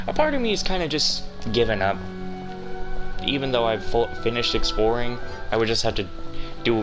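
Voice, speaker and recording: A man's voice: on the low side, low-quality recording. A man giving casual, rambling, slightly grumbling commentary as he records a video game playthrough. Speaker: gaming video creator. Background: faint game music.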